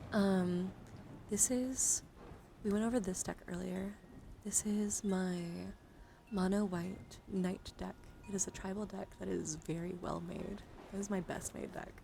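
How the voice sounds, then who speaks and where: A woman hosts an ASMR livestream in a soft, gentle voice at a medium pitch. She speaks close to the mic and often slips into whispers. Background ambient noise is clearly audible behind her. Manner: frequently drops into whispers. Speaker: livestream host. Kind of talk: soft-spoken ASMR card reading and casual stream chat.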